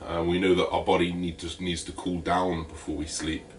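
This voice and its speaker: A man with a deep, slightly raspy voice, talking casually to camera in a fitness vlog with lots of fillers. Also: moderate pace, recorded from a distance. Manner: energetic, chatty, full of fillers. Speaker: fitness vlogger.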